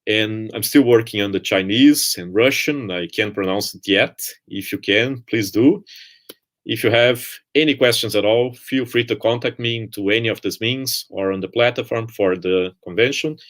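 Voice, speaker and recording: A somewhat low male voice, speaking remotely over a video call. He answers questions thoughtfully and unhurriedly, pausing on "uh" as he searches for the words. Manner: relaxed, hesitant, many "uh"s. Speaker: conference speaker. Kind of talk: presentation and Q&A answers.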